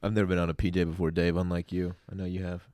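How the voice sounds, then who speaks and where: A man's voice: deep voice, flat delivery, racing, darkish tone, no room sound, clean studio sound. An American man co-hosting a podcast, tossing out casual, joking banter. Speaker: podcast co-host. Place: small podcast studio.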